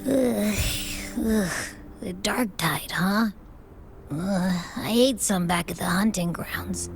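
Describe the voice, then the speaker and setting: A woman's voice: medium pitch, takes it slowly, vocal fry, faint music behind. A woman voicing a small, innocent creature companion in a video game, childlike and hesitant, speaking informally. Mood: sleepy, queasy.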